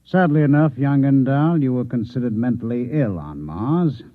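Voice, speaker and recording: A man playing a coolly menacing Martian infiltrator posing as a newspaper man in an old-time radio drama, measured, formal and insinuating. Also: medium pitch, expressive, lightly acted. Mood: cool menace. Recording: echoey, phone-like old radio drama recording.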